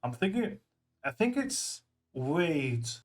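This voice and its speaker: A man hosting a reaction video with casual, excitable game commentary, often repeating himself mid-thought. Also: fairly high pitch, takes it slowly.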